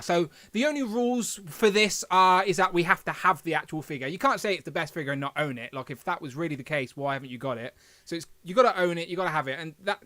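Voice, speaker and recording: Host, male, lilt, slight lisp, high-pitched rambling chatter, dry close sound. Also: fairly bright tone, no echo. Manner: chatty, rambling, self-deprecating. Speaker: live stream host. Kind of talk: casual live stream chat.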